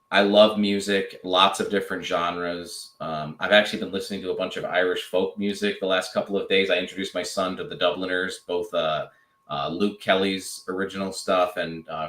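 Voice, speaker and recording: A man with a fairly low voice, a guest chatting casually on a podcast, rambling with many fillers and restarts. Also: a bit bright, roomy sound. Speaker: podcast guest, draft analyst. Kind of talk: casual chat.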